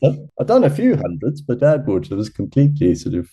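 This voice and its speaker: A man's voice: mid-range voice, huge pitch swings, a little fast, dark tone, slightly rough, highs cut off. A middle-aged man, originally from Zimbabwe, telling long chatty stories as a podcast guest and laughing at himself. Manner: chatty, self-deprecating, laughs at own stories. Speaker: podcast guest, ultra runner. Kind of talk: casual storytelling interview.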